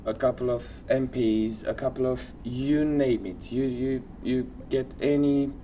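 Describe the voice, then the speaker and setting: Male podcast co-host, unhurried and halting, medium pitch, informal talk, faint background noise. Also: fairly even intonation, dark tone. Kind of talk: casual chat, sharing odd facts.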